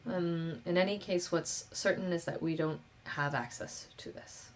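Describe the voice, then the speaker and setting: A woman interpreting a question-and-answer session on a video call, speaking in a measured, halting way with pauses. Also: lowish voice, slight background noise.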